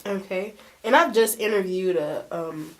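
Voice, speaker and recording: A woman's voice: mid-range voice, a little slow. A woman interviewer asking brief, plain questions.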